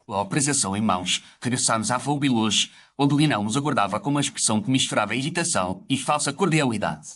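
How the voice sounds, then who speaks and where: A man's voice: medium pitch, animated, quick pace, few pauses, a bit bright. A man narrates a scripted audio story. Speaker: narrator telling the story as a doctor character.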